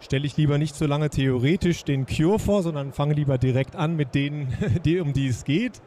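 Hesitant fair host, handheld mic. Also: male voice, a little high, moderate pace, dark tone, a little hiss or hum. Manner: friendly, hesitant, many fillers, chuckles. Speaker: session host. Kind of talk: moderating a trade fair session. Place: trade fair booth indoors.

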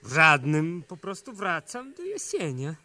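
A man's voice: fairly high pitch, huge pitch swings, a little slow, sharp tone, full character voice. An actor playing an agitated, evasive and indignant man in a 1970s Polish radio play, defensive and melodramatic.

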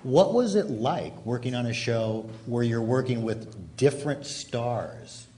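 A man's voice: medium pitch, animated, a little slow, dark tone. A man interviewing guests as host of a live panel on stage. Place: large hall stage, before an audience. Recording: noisy live hall recording.

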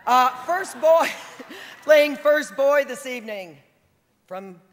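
A woman's voice: high-pitched, lively intonation, slow. A woman in her thirties at a podium mic on a large theater stage, introducing the cast and calling out names with emphasis. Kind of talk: live event announcing. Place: large theater stage before a big audience. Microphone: podium mic on stand. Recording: echoey hall, audience noise. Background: crowd cheering.

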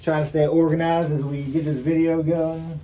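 Male co-host, warm low-key delivery, casual unboxing banter, light hiss. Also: normal speed, rough recording. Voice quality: says he has a very deep voice. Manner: relaxed, chuckling, calls out card names. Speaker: channel co-host. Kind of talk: casual card-opening chat. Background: faint crinkling of card packs.

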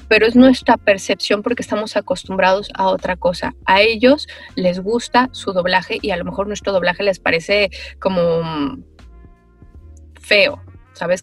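A woman, a voice actress answering interview questions on a video call, thoughtful and animated, with many pauses and fillers. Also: medium pitch, no echo. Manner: animated, gestures while talking. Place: small room with foam panels.

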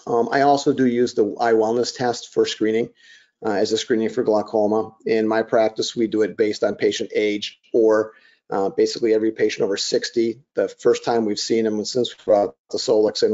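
A middle-aged man from Wisconsin lectures to colleagues in a somewhat low, faintly raspy voice. He talks in halting bursts with frequent fillers and false starts. The audio is dull and lo-fi, like a computer mic on a webinar call. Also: a little mellow. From Wisconsin, USA. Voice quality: slightly raspy, froggy. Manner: halting, many fillers and restarts. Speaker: optometrist lecturer. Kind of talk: webinar lecture over slides.

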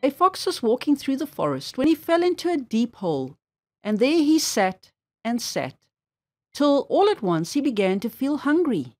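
A woman storyteller reading a children's tale aloud with expressive storybook phrasing. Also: high-pitched, normal speed, faint music behind.

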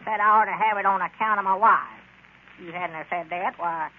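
Male actor as henpecked old country man, high voice, Southern drawl, plaintive, folksy, scratchy 1930s radio comedy. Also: fairly even intonation, brisk, phone-quality sound, close to an everyday voice. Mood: defensive complaining. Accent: rural Southern American. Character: fretful, henpecked old rural shopkeeper, easily worried.

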